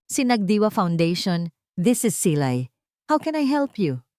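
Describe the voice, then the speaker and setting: A woman's voice: mid-range voice, sing-song, measured pace, a little mellow, no echo, clean studio sound. A woman audiobook narrator with a Filipino accent voicing a determined, hopeful woman leader, in an earnest, formal, declamatory manner. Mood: composed, hopeful. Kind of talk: audiobook character speech.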